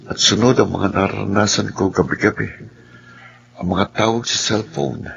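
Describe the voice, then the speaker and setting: A man's voice: on the low side, fairly even intonation, normal speed, full character voice. A man playing a brooding, uneasy man in a radio drama, musing and questioning as if troubled. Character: brooding, uneasy brandy-drinking man haunted by worries. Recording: dull, clearly noisy lo-fi recording.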